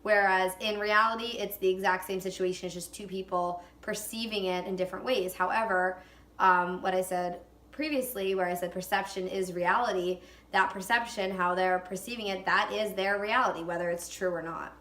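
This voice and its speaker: A woman's voice: medium pitch, fairly even intonation, normal speed, fairly bright tone. A woman in her twenties giving reflective interview answers, hesitant and full of fillers. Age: 20s. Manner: hesitant, with many fillers and hedges. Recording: echoey room.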